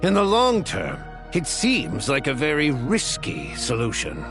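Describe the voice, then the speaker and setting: Male assembly chairman, video game, formal, measured, lively intonation, fry in the voice. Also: a little high, faint music behind, heavily acted. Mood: measured, skeptical. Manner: formal, ceremonious. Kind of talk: scripted video game acting, formal proceedings.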